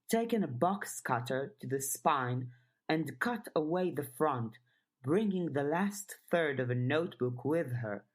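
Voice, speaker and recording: A woman's voice: low voice, darkish tone. A woman reading scripted fiction as an audiobook narrator. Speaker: audiobook reader.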